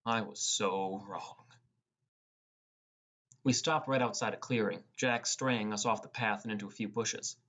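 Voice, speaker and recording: A man's voice: fairly low pitch, fairly even intonation, measured pace, pauses often, fairly bright tone. A man reading first-person fantasy narration as an audiobook narrator. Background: music.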